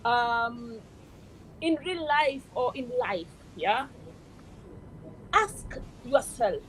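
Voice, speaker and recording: A middle-aged woman, answering interview questions as a guest, often pausing and restarting as she searches for words. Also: a little high, expressive, a little hiss or hum, low-quality recording. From Kenya.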